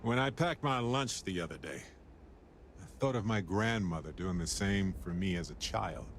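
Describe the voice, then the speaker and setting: A man playing a warm, sincere middle-aged space explorer in a video game, speaking in a measured, wistful way. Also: deep voice, animated, a little hiss or hum. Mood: wistful, reflective. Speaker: video game character actor. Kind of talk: dramatic video game dialogue.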